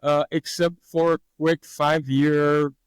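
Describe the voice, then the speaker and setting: Man, hesitant and relaxed. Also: a little high, unhurried, clear, resonant tone, no echo. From Haiti. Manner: relaxed, hesitant with frequent fillers, laughs easily. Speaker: master distiller, guest. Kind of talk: interview answers.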